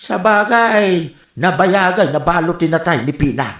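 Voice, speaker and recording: A man's voice: sits high, unhurried, a bit bright. An actor playing a mischievous, nosy old father in a radio comedy drama, with a Filipino accent. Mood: mischievous, needling. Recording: echoey room sound.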